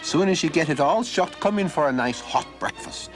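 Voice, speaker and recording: A man voicing a crafty old gentleman who hires farmhands, speaking in a drawn-out, wheedling folksy way. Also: on the high side, expressive, over music, voice pushed up, natural voice. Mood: sly. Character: sly old man employer, crafty bargainer.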